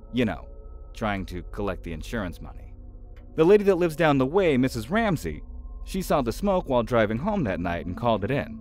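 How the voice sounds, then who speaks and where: Man as chatty small-town realtor, lively pitch. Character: friendly, chatty small-town male realtor, slightly evasive. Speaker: real estate agent showing a house. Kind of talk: audio drama acting. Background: faint music.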